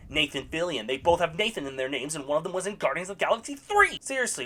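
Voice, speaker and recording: A young adult delivers a scripted film review in a sarcastic, animated way, handing out blunt verdicts. The voice sits at a middle pitch but leaps up and down with lively inflection. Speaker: video reviewer. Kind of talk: scripted movie review commentary.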